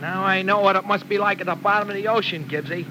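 Actor as a high-pitched, uneasy young driver. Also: male voice, fast talker, natural voice. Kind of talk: 1940s radio drama acting. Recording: noisy old radio, storm and car effects.

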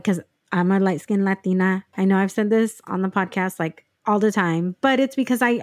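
A woman's voice: medium pitch, darkish tone, dry recording, studio quality. A woman hosting a podcast, talking casually and affirmingly with many fillers. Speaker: podcast host.

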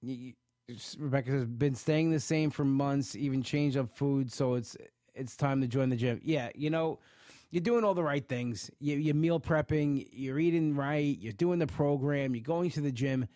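A man's voice: medium pitch, normal speed, mellow, no room sound, studio quality. A man with a stuffed-up nose chatting casually to viewers about his weight loss, rambling with many restarts. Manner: rambling, frequent restarts and "you know". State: stuffed up from allergies. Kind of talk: casual livestream chat reading viewer comments.

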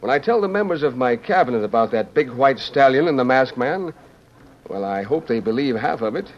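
An actor as a genial American statesman in a radio play. He is cordial and well-spoken, with a medium-pitched voice and dignified ease. The old recording is muffled with faint noise. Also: expressive, brisk, lightly acted. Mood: impressed, curious. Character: genial, dignified American president, grateful and amused. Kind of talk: old-time radio drama acting.

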